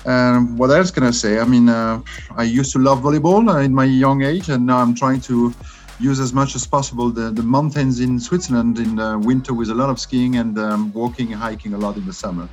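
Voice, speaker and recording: Halting French man in his fifties. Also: medium pitch, steady tone, soft background music. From France. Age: 50s. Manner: halting, many restarts and fillers. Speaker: guest. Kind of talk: casual remote interview. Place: his room at home.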